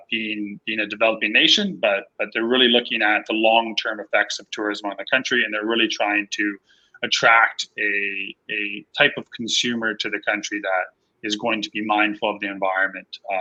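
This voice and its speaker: A man's voice: fairly low pitch, moderate pace, highs cut off. A man who grew up in Costa Rica, a panel guest talking casually with frequent fillers on a video call. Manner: relaxed, hesitant with many fillers.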